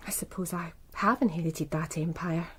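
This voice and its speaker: Poised, low-voiced English businesswoman. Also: a bit bright, slightly rough, full character voice. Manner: composed, articulate, coolly self-assured. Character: poised, proud, worldly businesswoman running a bookmaking empire. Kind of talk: British radio crime drama acting.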